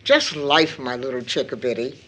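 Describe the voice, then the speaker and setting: A woman's voice: very deep voice, moderate pace, a bit bright. An actress playing a loving, careworn mother in a stage-play audio drama, with a tender, theatrical delivery. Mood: sorrowful.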